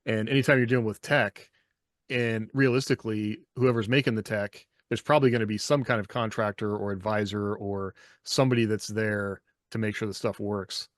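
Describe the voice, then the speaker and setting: A man's voice: fairly low pitch, steady tone, brisk, no echo, studio quality. A man casually explaining his thoughts in discussion as a podcast co-host and author.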